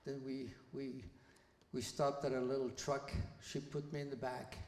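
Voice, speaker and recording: Old man born in belgium telling his story to a school crowd, steady and flat, big echoey hall. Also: medium pitch. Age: around 80. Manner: measured, plain storytelling with small restarts. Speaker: guest speaker. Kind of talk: personal testimony to students.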